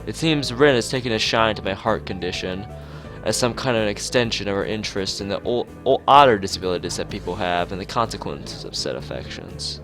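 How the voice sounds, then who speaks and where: A man reading a visual novel aloud in an expressive narrating style, as a let's-player. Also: on the low side, fairly quick, few pauses. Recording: music behind the voice. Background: clear background music.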